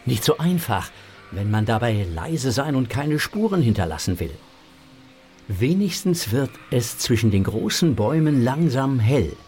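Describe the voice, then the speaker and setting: A man narrating a scripted children's audio story with a husky voice. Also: mid-range voice, big pitch swings, measured pace, a little mellow, faint music behind.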